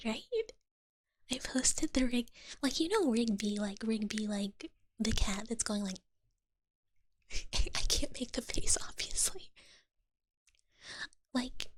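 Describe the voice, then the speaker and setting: A young woman whispering softly to her stream chat in an ASMR style, giggling often. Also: fairly high pitch, breathy edge, no echo, clean studio sound. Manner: soft whisper with frequent giggles.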